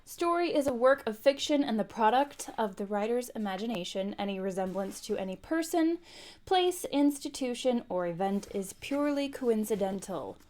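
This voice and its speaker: A woman's voice: fairly high pitch, expressive, normal speed, a little mellow. A woman hosting an informal live read-aloud, speaking in a conversational way as she chats and narrates. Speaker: host reading a book aloud on a live stream. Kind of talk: informal livestream chat and audiobook-style narration.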